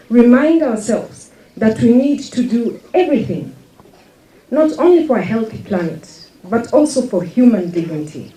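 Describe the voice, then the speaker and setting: A woman's voice: fairly high pitch, warm tone. A woman giving a formal prepared speech at a ceremony. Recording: echoey public address heard from far off.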